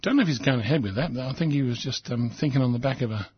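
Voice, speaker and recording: A man with an Australian accent and a croaky, hoarse voice, hosting talk radio with many ums and repeated words. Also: mid-range voice, rapid-fire, no echo, muffled recording. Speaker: radio host. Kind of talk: casual talk radio commentary.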